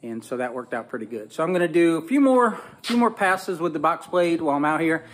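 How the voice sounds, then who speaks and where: A man's voice: on the high side, big pitch swings, brisk, dry recording, faint music behind, poor audio. A middle-aged man on a rural homestead, talking plainly and thinking aloud as he films a walk-around on his phone. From Northwest Florida. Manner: folksy, plainspoken, thinking aloud with small restarts. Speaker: homestead vlogger. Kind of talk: casual walk-around narration. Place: outdoors on a rural homestead by a pole barn.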